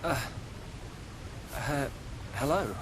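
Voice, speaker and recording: A man's voice: medium pitch, lively intonation, very slow, noisy background. A young man playing an earnest, kind young hero in a video game cutscene, sometimes hesitant. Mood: puzzled, hesitant.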